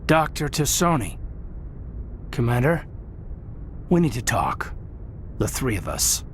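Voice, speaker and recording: A man's voice: medium pitch, very animated, slow, a little hiss or hum. A man playing a sincere, hurt military lieutenant in a video game, speaking earnestly and hesitantly. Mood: confused, earnest. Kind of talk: video game dialogue acting.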